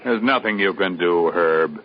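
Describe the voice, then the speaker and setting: A man's voice: low-pitched, a little slow. Bitter older American man, muffled radio. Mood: resigned, bitter. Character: embittered retired government man, obsessed with lost project. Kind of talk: 1950s American radio drama acting. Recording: muffled 1950s radio recording.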